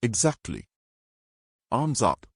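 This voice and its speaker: A bossy, roguish treasure-hungry man from a fantasy audio tale, acted by a man. He speaks in brisk, old-fashioned commands with a very animated delivery, recorded dry. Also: medium pitch, no room sound, full character voice. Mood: impatient, commanding. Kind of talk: fantasy audio story character acting.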